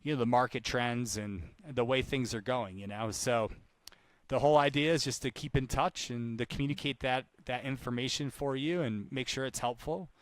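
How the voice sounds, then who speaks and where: A man's voice: mid-range voice, moderate pace, no room sound. A man with a mid-range voice in a dry recording speaks like a sales trainer doing live outreach calls. He is informal and chatty, and he likes to repeat a point to drive it home. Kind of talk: live cold-call demonstration with coaching talk.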